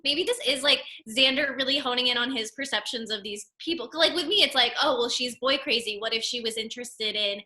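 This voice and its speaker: Woman, bright tone, slightly high pitch, informal, hesitant, cast member giving notes. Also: a little fast, hardly any pauses. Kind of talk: casual group discussion.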